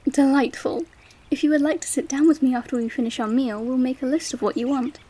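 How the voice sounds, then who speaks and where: A woman's voice: high voice, fairly quick, mellow, breathy edge. An actress playing a gracious, eager queen in a romantic audio drama, with a refined, formal and affectionate manner. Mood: delighted, brisk. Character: gracious, eager queen who loves hosting.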